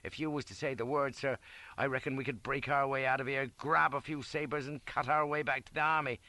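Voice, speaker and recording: A hearty, loyal English sergeant major as a male narrator plays him, bluff but deferential. The working-class voice is raised in pitch and forceful, in a dry storybook recording. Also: fairly even intonation, a little fast, no echo. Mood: urgent, conspiratorial. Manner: deferential, bluff soldierly speech.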